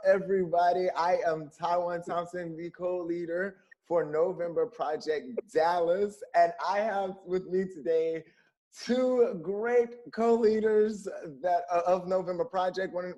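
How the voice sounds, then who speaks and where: Slow-talking laid-back male host. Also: sits high, a little mellow. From Virginia, United States. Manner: easygoing, laughs often between questions. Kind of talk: casual video-call conversation.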